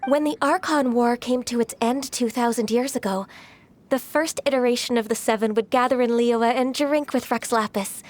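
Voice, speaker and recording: A woman playing a gentle, earnest half-human adeptus in a video game, speaking politely and formally with slight hesitance. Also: fairly high pitch, steady tone, moderate pace, close to an everyday voice. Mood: wistful, solemn. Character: gentle, earnest, ancient half-qilin female adeptus secretary. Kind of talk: video game cutscene dialogue. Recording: music under the voice. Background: background music.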